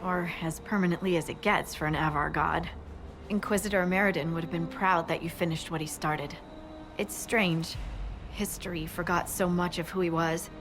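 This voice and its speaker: Woman acting a friendly female scout, fantasy game, slightly low voice, teasing, conversational, music underneath. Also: steady tone, normal speed, natural voice. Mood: amused.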